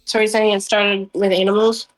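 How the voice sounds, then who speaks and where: Casual woman with a mid-pitched voice. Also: flat delivery, normal speed, low-quality recording. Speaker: tabletop role-playing player. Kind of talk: casual table talk.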